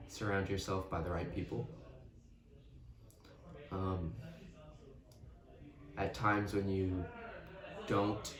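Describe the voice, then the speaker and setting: A man of twenty-one from West Texas answering interview questions slowly and thoughtfully. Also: deep voice. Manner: slow and thoughtful, pauses with hmm. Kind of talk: casual reflective interview answers. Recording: roomy, distant mic, crowd noise behind.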